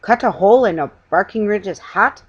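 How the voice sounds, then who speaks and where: Fussy storybook salesman acted by a woman, deferential, husky, with swooping intonation. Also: mid-range voice, animated, moderate pace, lo-fi sound. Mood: indignant.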